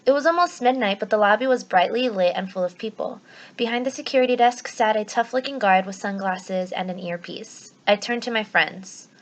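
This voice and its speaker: A woman teacher speaking to her students in an expressive read-aloud style. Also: mid-range voice, brisk.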